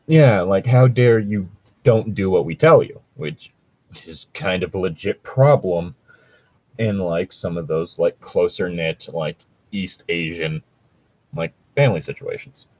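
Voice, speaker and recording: A man's voice: low voice, a little slow, darkish tone. A man co-hosting a casual commentary chat, talking in a hesitant, filler-heavy and casually sweary way. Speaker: podcast co-host.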